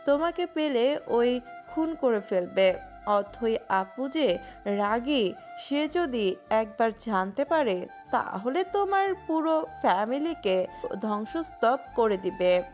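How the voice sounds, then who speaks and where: A woman playing a resentful, outspoken younger sister in a romantic audio story, deliberate and reproachful. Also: on the high side, animated, a little mellow, soft background music. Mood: angry, reproachful.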